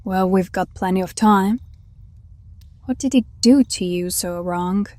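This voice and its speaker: A woman's voice: mid-range voice, a little slow, warm tone, no room sound, natural voice. An actress playing a teasing, sardonic, hard-drinking female hireling who coaxes her companion in an audio drama. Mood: coaxing.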